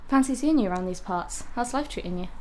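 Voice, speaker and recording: An actress plays a wry young ex-girlfriend in a radio drama. Her voice is mid-pitched and mellow, with a pointed edge to what she says. The acting is naturalistic. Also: lively intonation, fast talker, slight background noise, natural voice.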